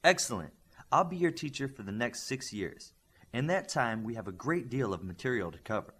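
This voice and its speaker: A man's voice: mid-range voice, expressive, moderate pace, a bit bright, slightly rough. A man voicing a poised young female teacher in audiobook dialogue, formal, precise and self-assured. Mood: poised, polite.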